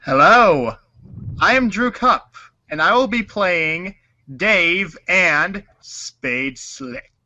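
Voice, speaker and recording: A man banters casually as a cast member. His voice is very bright and high with animated pitch, on a dull lo-fi recording. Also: very slow, no echo.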